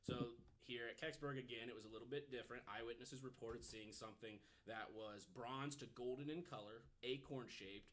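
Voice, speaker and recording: A man's voice: medium pitch, moderate pace, few pauses, a bit bright, poor audio. A man on a podcast panel telling a story casually, hedging often with "you know" as he explains.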